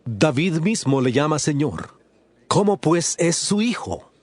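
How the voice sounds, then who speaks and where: A man's voice: on the high side, very animated, slow, no echo, exaggerated voice. A man playing an authoritative religious teacher in a dramatized scripture audiobook, speaking in a solemn, emphatic, declamatory way. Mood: questioning.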